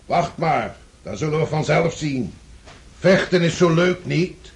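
An actor playing an old, patient, weary Russian supreme commander in a radio drama, speaking deliberately with a husky, breathy voice. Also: male voice, fairly high pitch, expressive, slight background noise. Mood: weary, insistent. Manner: deliberate, aphoristic, admonishing.

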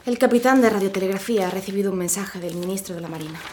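A woman's voice: medium pitch, racing, a little mellow, slight background noise, natural voice. A woman playing a dutiful, businesslike military secretary giving reports in an audio drama. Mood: grave, formal. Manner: formal, businesslike.

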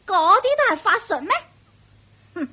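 A woman's voice: very high voice, expressive, sharp tone, full character voice. A woman playing a shrewish, domineering and vengeful witch in a radio drama, nagging and taunting in Hong Kong Cantonese. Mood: taunting, threatening. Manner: nagging, taunting, drawn-out emphatic delivery. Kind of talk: theatrical radio drama acting.

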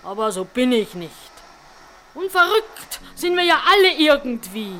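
A grumbling, good-hearted cleaning woman chats in a radio play. Her voice is high and sharp, and she takes her time with folksy, self-satisfied gossip. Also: very animated, unhurried, heavily acted. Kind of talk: German radio drama monologue.

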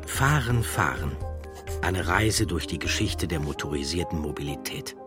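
A man's voice: very deep voice, animated, normal speed, music in the background. A man reading a scripted documentary narration as an audiobook narrator.

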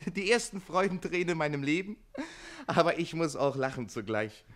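An actor playing a quick-witted, defiant servant in a radio comedy, declaiming theatrically and splitting hairs with passion. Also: male voice, a little high, a bit bright, lightly acted. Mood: moved, cheeky. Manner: declamatory, hair-splitting, impassioned. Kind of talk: German stage comedy radio play acting.

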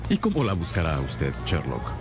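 An actor playing a loyal, gentlemanly companion who tells the story in a radio drama in a measured manner. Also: male voice, a bass voice, huge pitch swings, full character voice. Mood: curious. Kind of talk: radio drama narration and dialogue. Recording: prominent music behind the voice.

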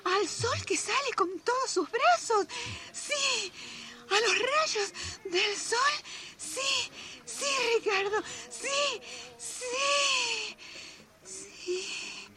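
A woman's voice: extremely high pitch, expressive, extremely slow, heavily acted. An actress playing an older Argentine wife and mother in a radio drama, sensual, coquettish and theatrical, with a Rioplatense accent. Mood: aroused, yearning.

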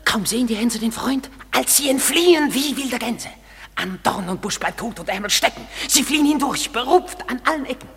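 A man playing a mischievous, excitable woodland sprite in a radio drama, declaiming rhymed verse theatrically with a husky edge. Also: very high-pitched, moderate pace, big-room sound, full character voice. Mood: gleeful boasting. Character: mischievous gleeful male woodland sprite, servant to fairy king. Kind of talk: classic stage-verse radio drama acting.

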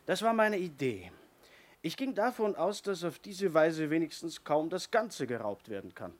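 Offhand, thrifty business owner. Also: male voice, on the high side, big pitch swings, moderate pace. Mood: defensive. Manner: offhand, wry, slightly defensive. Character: thrifty, self-assured male business owner. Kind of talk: 1970s German radio play acting.

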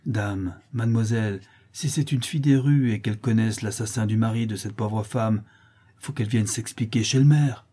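A man's voice: lowish voice, normal speed, warm tone, breathy edge. A man voicing a bold, plain-spoken farm labourer in a storybook reading, blunt and rustic. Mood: blunt, defiant.

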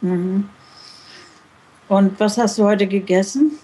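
A woman playing a question game on a podcast, asking quick, brisk questions in a casual way. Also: mid-range voice, steady tone, dark tone, husky, rough recording. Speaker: podcast guest player.

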